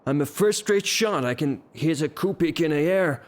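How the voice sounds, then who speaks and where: An actor playing a blustering, lovestruck, hot-tempered gentleman landowner in an audio drama, with a halting, theatrical delivery. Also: on the high side, expressive, lightly acted. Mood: frantic, infatuated. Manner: theatrical, halting, repeats himself.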